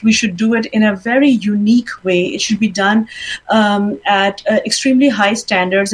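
A middle-aged woman from Pakistan answering interview questions as a guest, speaking in a measured way with frequent ums and restarts. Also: medium pitch. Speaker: guest, fashion event founder.

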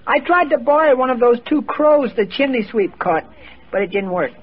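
A bumbling, excitable, stammering family man. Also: very high-pitched, moderate pace, breathy edge, exaggerated voice. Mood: excitable, hapless. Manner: hesitant stammering, eager exclamations. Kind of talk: 1940s radio comedy acting. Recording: muffled, noisy old radio broadcast.

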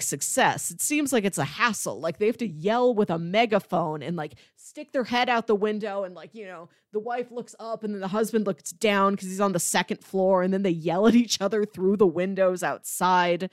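A woman's voice: mid-range voice, normal speed, hardly any pauses, no echo, studio quality. A woman co-hosting a film podcast, talking casually and thoughtfully, often peppering her sentences with "like". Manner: thoughtful, conversational, frequent "like" filler.

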